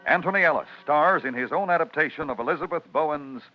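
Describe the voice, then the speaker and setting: A man's voice: on the high side, quick pace. A man announcing a vintage radio thriller program in a dramatic, formal introductory style. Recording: dull vintage radio recording. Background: music.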